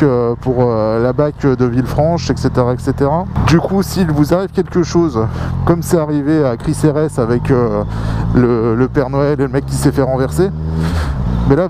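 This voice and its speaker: A man chatting informally and slangily to viewers as a motorcycle vlogger, recorded on a mic inside his helmet. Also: a little high. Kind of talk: casual chat while riding, talking to viewers. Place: outdoors riding a motorcycle on the road. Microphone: action camera microphone inside a helmet. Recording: loud road and vehicle noise. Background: vehicle and road noise.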